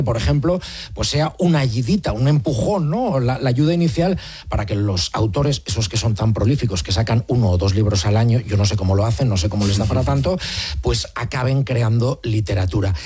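A man's voice: warm tone, husky. A man speaking as a radio contributor on technology, chatting in a playful, joking way. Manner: playful, reads prose in a low dramatic tone. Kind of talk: casual radio panel chat.

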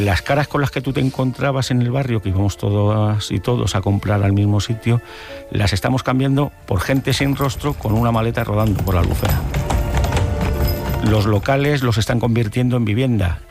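A man's voice: medium pitch, moderate pace, a little mellow, faint music behind. A middle-aged man, secretary of a residents' association, earnestly describing changes in his area in an interview. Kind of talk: interview testimony.

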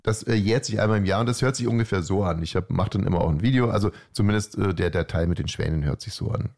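A middle-aged man co-hosting a podcast, telling anecdotes in casual, halting banter with a wry, mock-grandiose touch. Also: low-pitched, vocal fry, darkish tone. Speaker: podcast co-host.

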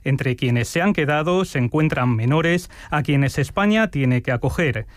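A man reading radio news bulletins in a clear, measured way from a studio. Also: a little high, expressive, no echo. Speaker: news anchor. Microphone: studio microphone.

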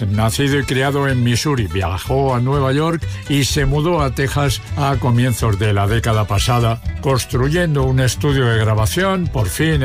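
A man presenting a music segment on the radio with even, informative delivery. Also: medium pitch.

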